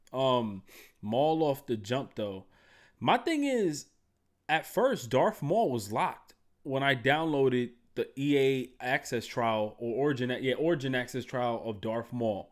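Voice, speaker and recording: A man live streaming from his desk mic, ranting to his chat in a loose, profane, rambling way. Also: mid-range voice. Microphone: streaming microphone at a desk.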